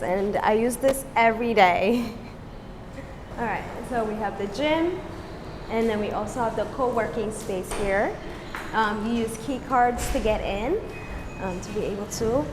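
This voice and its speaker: A young woman, an English teacher, talking casually in an interview with many ums and soft laughs while walking around her apartment building. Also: on the high side, expressive, unhurried, noisy background. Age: young adult. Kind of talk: casual interview and home tour.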